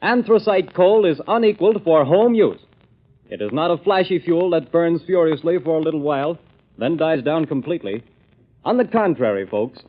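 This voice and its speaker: A male announcer gives a polished, emphatic sales pitch in old-time radio style. His fairly high voice swings animatedly up and down. The recording is dull and dated. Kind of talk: vintage radio advertising read.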